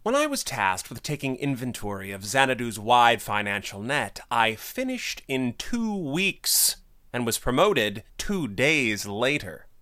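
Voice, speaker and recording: A smug, cunning court official. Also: male voice, mid-range voice, big pitch swings, sharp tone, no room sound, clean studio sound. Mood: smug, disdainful. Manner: smug, deliberate. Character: vain, cunning, self-assured male court official. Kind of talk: audio drama acting.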